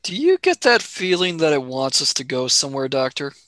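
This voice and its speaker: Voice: medium pitch, sing-song, a little fast, a bit bright, dry recording, clean studio sound. An actor playing a sceptical, wary young male space traveller in a radio play. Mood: tense.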